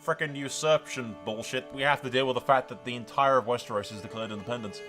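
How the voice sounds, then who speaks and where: Excitable sweary gamer, bright forceful male voice. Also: mid-range voice, fast, faint music behind. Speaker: host of a gaming channel. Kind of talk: playthrough commentary with in-character narration.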